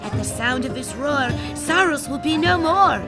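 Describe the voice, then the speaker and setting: Woman as a high, reverent schoolgirl. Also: lively intonation, normal speed, loud music behind the voice. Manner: reverent, recitation-like.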